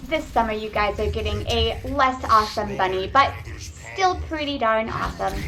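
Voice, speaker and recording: Excitable young woman casting a game live. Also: a little high. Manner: excitable, chatty, talks to viewers. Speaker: esports caster. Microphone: webcam microphone. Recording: webcam mic with faint noise.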